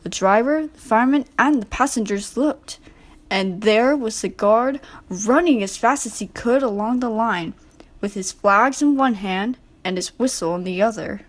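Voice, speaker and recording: High-voiced woman reading a children's story. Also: moderate pace. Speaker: storybook narrator. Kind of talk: scripted children's story narration.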